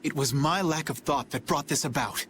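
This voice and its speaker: An actor playing an earnest, dutiful young knight captain in a video game, speaking formally and with solemn restraint. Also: male voice, fairly high pitch, normal speed, a bit bright, slight background noise, lightly acted. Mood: remorseful. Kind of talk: English video game voice acting.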